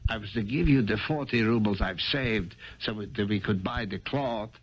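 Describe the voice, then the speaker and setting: An actor playing a meek, poor clerk in a 1970s radio drama, hesitant, stammering and apologetic. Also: male voice, on the low side, normal speed, breathy edge. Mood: desperate, earnest. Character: timid, poor, pleading male clerk, meek and earnest. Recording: muffled, noisy old radio broadcast.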